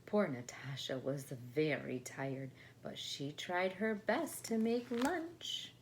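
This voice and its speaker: Woman storyteller, slightly low voice, very slow pace, expressive children's read-aloud, faint background noise. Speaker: storybook reader.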